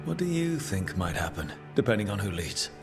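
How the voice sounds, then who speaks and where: A man's voice: on the low side, sing-song, brisk. A man playing a level-headed adventurer hero in a fantasy game, sincere and questioning. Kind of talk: dramatic fantasy game acting. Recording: music under the voice.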